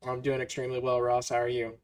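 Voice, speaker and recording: A young adult man from the United States co-hosting a casual podcast, friendly and agreeable, filling pauses with "you know" and "um". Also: medium pitch, completely flat delivery. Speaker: podcast co-host. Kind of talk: casual chat.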